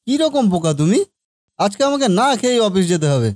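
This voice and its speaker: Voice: mid-range voice, sing-song, fairly quick, darkish tone, no echo, clean studio sound, natural voice. An actor voicing an exasperated young husband in a comedy cartoon, talking in a reproachful, resigned way. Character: exasperated young husband, office-going son, scolding his wife. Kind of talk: Bengali comedy cartoon dialogue.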